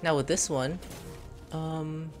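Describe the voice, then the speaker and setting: A woman's voice: low-pitched, steady tone, slow pace, music in the background. A woman casually commenting while playing a video game, playful and jokey, talking to herself. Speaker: streamer.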